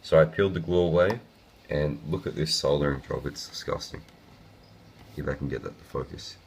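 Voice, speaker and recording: A young man with a croaky, sick voice, talking casually through a hobby build video with lots of ums. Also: a bass voice, brisk, slight background noise. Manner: casual, rambling with many ums.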